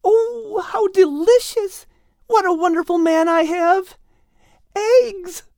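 A man voicing an excitable, adoring young wife in a storybook, with gushing, drawn-out exclamations. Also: extremely high pitch, creaky, dry recording, studio quality, voice pushed up, exaggerated voice. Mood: delighted.

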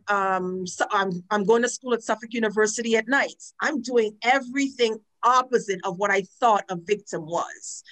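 A woman in her forties from Trinidad, a guest telling her personal story frankly and earnestly, with lively quoted asides. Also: mid-range voice, poor audio. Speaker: guest, domestic violence advocate. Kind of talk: personal testimony in a podcast interview.

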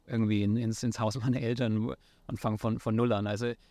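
A man's voice: lowish voice, flat delivery, brisk, dark tone, dry recording. A man in his thirties from near Nuremberg with a Franconian accent, talking as an interview guest with many fillers and restarts. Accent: Franconian German. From Franconia, near Nuremberg, Germany. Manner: hesitant, many fillers and restarts, mixes in English words. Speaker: startup founder guest. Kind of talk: casual interview talk.

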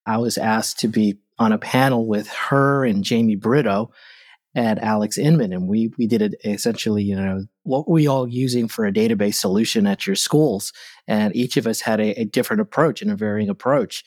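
Man, lowish voice, friendly colleague recalling past work together. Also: moderate pace, darkish tone. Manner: friendly, anecdotal. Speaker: colleague giving a testimonial. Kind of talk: casual recollection.

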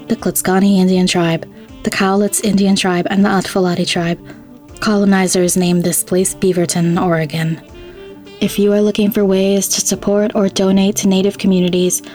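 A woman host reads scripted podcast credits and announcements. Her voice is mid-pitched and stays very even, with faint music underneath.

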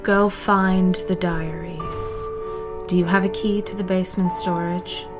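A woman's voice: fairly low pitch, fairly even intonation, a little slow, lightly acted. A woman playing the insistent, tormenting ghost of a dead woman in an audio drama. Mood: commanding. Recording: music under the voice.

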